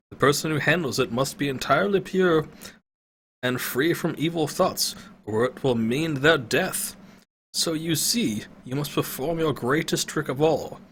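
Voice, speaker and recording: A man's voice: fairly high pitch, a bit bright, a little hiss or hum, pitched above the performer's own voice. A man voicing a solemn old male wizard who leads an adventuring party in a storybook.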